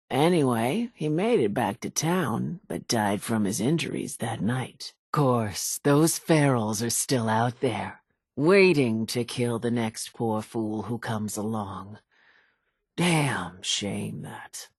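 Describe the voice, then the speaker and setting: An actress playing a vengeful old island widow in a video game, telling grim tales in a raspy, folksy, theatrical way. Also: female voice, a bass voice, animated, slow pace, darkish tone, no room sound, clean studio sound, full character voice. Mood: grim storytelling. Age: older. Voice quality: raspy, gravelly. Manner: folksy, dramatic storytelling drawl. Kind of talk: video game character dialogue.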